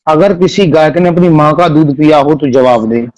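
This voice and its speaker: A man answering interview questions on a call in a formal, measured way with learned, scriptural wording. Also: a little high, a little mellow, no echo, rough recording. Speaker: religious singer-storyteller interviewed by phone.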